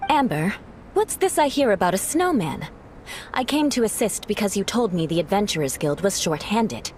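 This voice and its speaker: A woman's voice: mid-range voice, sing-song, normal speed, slight background noise, close to an everyday voice. A woman playing a refined, haughty but secretly kind young noblewoman knight, speaking formally in a video game. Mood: cool, composed.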